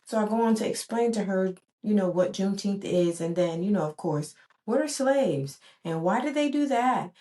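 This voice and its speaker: A woman's voice: medium pitch, expressive, moderate pace, mellow. A woman in her forties, born in Barbados and raised in the US, hosting a reflective solo podcast in an earnest, rhythmic way with repeated emphatic phrases. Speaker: podcast host.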